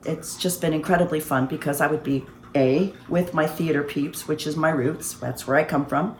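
A woman's voice: low-pitched, steady tone, moderate pace. A woman talking casually in an interview as a theater performer, recorded in a reverberant room. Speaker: theater performer and sound effects artist. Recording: echoey room with clear background noise.

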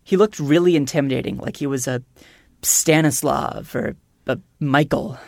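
A woman with a lowish voice, recorded very dry. She is a podcast producer acting out a comic story, stammering and pressing her point haltingly. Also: a little mellow, no echo. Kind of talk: scripted comic storytelling, acted as if real.

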